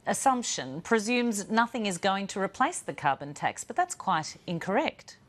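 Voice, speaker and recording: A woman hosting a news interview, asking probing, measured questions. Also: mid-range voice, very animated. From Australia.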